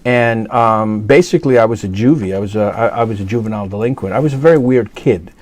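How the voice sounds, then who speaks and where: A man's voice: on the low side, quick pace, darkish tone. A middle-aged man from New York City talking casually as an interview guest, rambling with many fillers and restarts. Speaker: guest actor.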